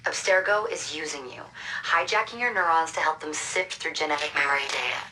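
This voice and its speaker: A secretive resistance handler in a video game. Her voice sits low but bright and sharp, brisk and urgent. Faint noise hums behind her. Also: poor audio. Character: secretive woman resistance hacker guiding a recruit. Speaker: mission handler.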